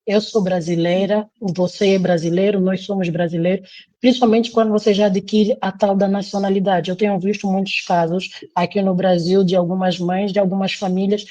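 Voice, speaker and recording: A woman's voice: mid-range voice, flat delivery, brisk, dark tone, no echo. An Angolan woman with a Brazilian-tinged Angolan Portuguese accent, hosting a live talk and speaking emphatically, repeating phrases for stress. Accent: Angolan Portuguese with Brazilian influence. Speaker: live show host. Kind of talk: casual conversational interview.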